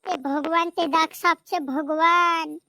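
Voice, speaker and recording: Distraught cartoon villager played by a man, very high flat pitch, rural Madhya Pradesh accent, repetitive pleading. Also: measured pace, dry recording, studio quality, full character voice. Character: pleading, distraught rustic villager, friend of a patient. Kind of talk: amateur cartoon comedy acting.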